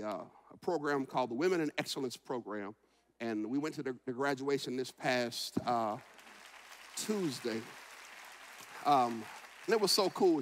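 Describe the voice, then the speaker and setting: A man in his forties preaches from the pulpit with a high, ringing voice. He swings from loud, shouted declarations down to quiet, reflective talk and repeats words and phrases for emphasis. The sound carries the long reverb of a large church sanctuary. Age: 40s. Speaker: pastor. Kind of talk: sermon.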